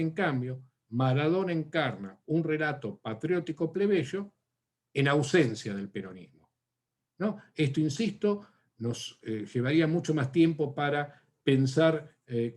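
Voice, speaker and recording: A man's voice: on the high side, expressive, measured pace, a little mellow. A man with an Argentine accent answering questions in an academic seminar, thoughtful and full of fillers and restarts. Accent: Argentine Spanish. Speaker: academic speaker.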